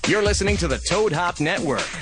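A man's voice: sits high, lively intonation, fairly quick. Man announcing a network promo. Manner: punchy, promotional. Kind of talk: radio promo voiceover. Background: loud music.

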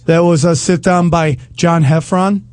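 A man with a strikingly high-pitched voice co-hosts a comedy podcast. He talks casually and slangily, like he is among friends. His humor is crude and teasing. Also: steady tone, measured pace, a little mellow. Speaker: podcast co-host.

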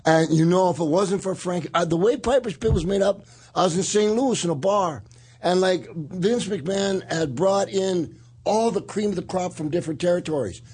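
Older man with a husky voice. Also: high voice, normal speed, a little mellow. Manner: gravel-edged, laughing, swaggering anecdotes. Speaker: podcast host. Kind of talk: casual storytelling chat.

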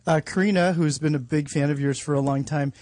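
Casual male co-host, slightly high register, conversational, little room echo. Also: fairly even intonation, a little fast, darkish tone, studio quality. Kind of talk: casual interview chat.

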